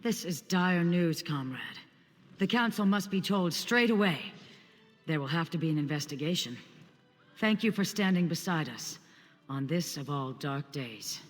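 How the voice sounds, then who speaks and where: An actor playing a formal, solemn guild comrade in a fantasy video game, speaking with grave, dramatic urgency. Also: male voice, a little high, lively intonation, measured pace. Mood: troubled, solemn. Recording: long reverb with faint music.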